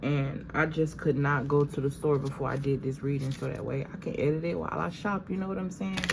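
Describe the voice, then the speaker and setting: A woman with a low voice gives a channeled tarot reading and sounds a little stuffy from allergies. She talks casually and drifts from thought to thought, calling her viewers "y'all". Steady background noise runs under the recording. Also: brisk, vocal fry. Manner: conversational, meandering, addresses viewers as "y'all". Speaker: tarot reader.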